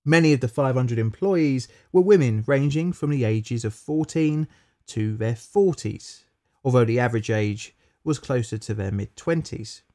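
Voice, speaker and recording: Measured male documentary narrator. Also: mid-range voice, animated, a little mellow. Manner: measured, matter-of-fact storytelling with stressed key words.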